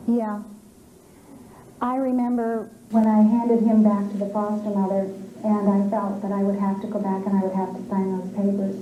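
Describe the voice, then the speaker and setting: A middle-aged woman giving reflective, measured and articulate answers as a birth mother in an interview. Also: mid-range voice, flat delivery, narrow, tinny audio, a little hiss or hum.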